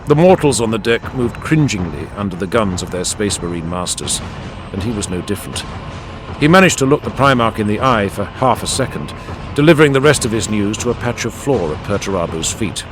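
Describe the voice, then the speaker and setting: A man's voice: on the low side, animated, fairly quick, background noise. A man reading dramatic scripted narration as an audiobook narrator. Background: music and added sound effects.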